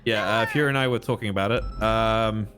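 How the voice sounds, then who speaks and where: Quick-talking man in casual game chat. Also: lowish voice, fairly bright tone, faint music behind. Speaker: fellow player in the roleplay meeting.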